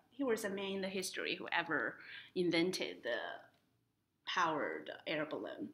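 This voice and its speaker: A young woman reading a children's picture book aloud in an expressive, deliberate storytelling style, adding short explanatory asides. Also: mid-range voice. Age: young adult. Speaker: library storytime reader. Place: indoors in front of a painted woodland mural.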